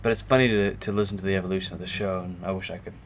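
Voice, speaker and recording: A man giving a casual, unscripted show-and-tell to a camera, talking haltingly and thinking aloud as he goes. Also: low voice, steady tone. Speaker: video creator showing a music collection. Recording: clear background noise, amateur camera audio.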